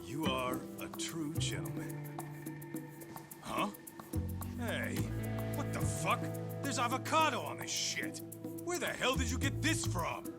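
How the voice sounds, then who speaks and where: A man's voice: high-pitched, very animated, takes it slowly, pauses often, loud music behind the voice, full character voice. An actor playing a brash, foul-mouthed, teasing tough guy in a video game, loose and slangy. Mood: outraged. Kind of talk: English video game dub, animated cutscene acting.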